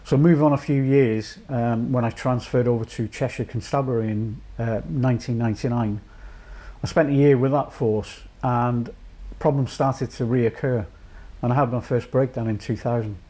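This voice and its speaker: Measured, reflective man. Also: mid-range voice, steady tone, dark tone. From North Wales. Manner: measured, reflective, with frequent pauses and fillers. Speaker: charity chief executive. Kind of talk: personal testimony to camera.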